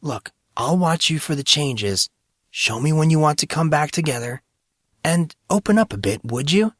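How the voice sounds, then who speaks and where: A man's voice: fairly high pitch, expressive, moderate pace, a bit bright, no echo, pitched above the performer's own voice. A man voicing a cocky young male hero of a fantasy story, with dry, teasing, smug sarcasm. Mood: determined, teasing.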